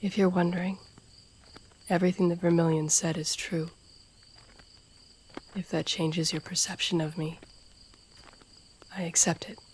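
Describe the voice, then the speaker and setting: A woman playing a stern, loyal, guarded masked assassin in a fantasy audio roleplay, speaking gravely and formally, often in a hushed breath. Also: fairly low pitch, flat delivery, a little slow, long pauses, lightly acted. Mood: grave, sincere. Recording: noisy outdoor night ambience with crickets. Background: crickets and night insects.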